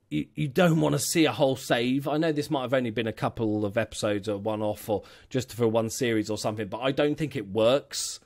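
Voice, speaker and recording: A man giving a casual, rambling critique of a gaming channel as a YouTube coach, often correcting himself mid-sentence. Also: medium pitch. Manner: rambling, self-correcting, chatty. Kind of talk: casual screen-share critique.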